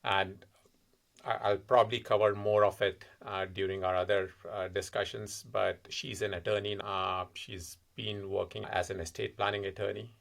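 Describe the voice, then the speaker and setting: A man in his forties from India, with an Indian accent, a podcast guest recounting his family's story in a measured, reflective way. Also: fairly low pitch, flat delivery, vocal fry, a bit bright. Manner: measured, reflective, frequent uh fillers. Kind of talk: personal storytelling in interview.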